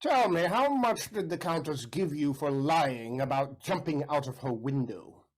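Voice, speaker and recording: A man's voice: on the high side, animated, a little slow. A man playing a suspicious, lordly count in a stage comedy audio play, imperious and insistent. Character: suspicious, lordly count questioning his servant.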